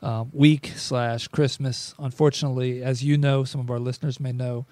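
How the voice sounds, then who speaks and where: A man hosting a sports podcast in relaxed, rambling chat. His voice is mellow and dark, recorded close and dry with no room sound. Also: medium pitch, moderate pace, no room sound. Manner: loose, rambling with fillers. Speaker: podcast host. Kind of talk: casual chat.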